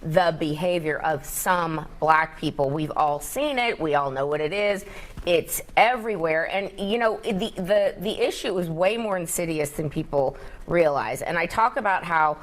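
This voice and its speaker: Assertive woman, very low voice. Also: animated, moderate pace. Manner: assertive, argumentative. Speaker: debate panelist. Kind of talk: panel debate.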